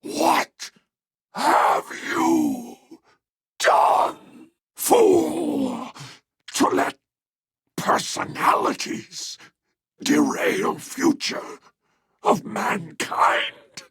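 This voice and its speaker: An actor playing a frail, dying old man in a video game, bitter and reproachful, speaking in strained, halting gasps. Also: very high-pitched, huge pitch swings, creaky, fairly bright tone, no echo, studio quality, exaggerated voice. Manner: gasping, halting, broken delivery. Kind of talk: dramatic video game death scene acting.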